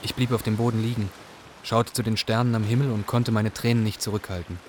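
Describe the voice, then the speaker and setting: Low-pitched actor as young male refugee narrator. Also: flat delivery, fairly quick, background noise, close to an everyday voice. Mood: earnest. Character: young male Iraqi refugee telling his hard odyssey. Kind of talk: first-person radio play narration.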